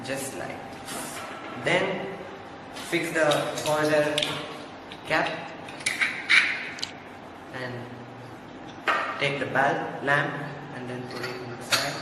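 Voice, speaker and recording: A teenage boy from Sri Lanka demonstrates a craft project, pausing often and speaking slowly. The room is very echoey with a long reverb. He is captured by a camera phone at a distance, with clear background noise. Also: mid-range voice, fairly even intonation. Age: teens. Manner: simple non-native phrasing with pauses. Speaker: student presenter. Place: classroom indoors.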